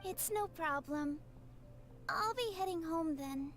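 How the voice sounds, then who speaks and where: An actress voicing a shy, sickly little girl in a game, speaking softly and hesitantly. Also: very high voice, animated, slow, faint music behind, heavily acted. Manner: hesitant, soft-spoken. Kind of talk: game voice acting.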